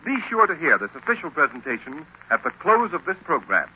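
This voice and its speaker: A man's voice: on the high side, big pitch swings, brisk. A man with an American accent works as a dramatic, emphatic announcer in 1930s radio style. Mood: announcing. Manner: emphatic, theatrical delivery. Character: dramatic male announcer of a mystery radio serial. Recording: scratchy, dull old radio recording.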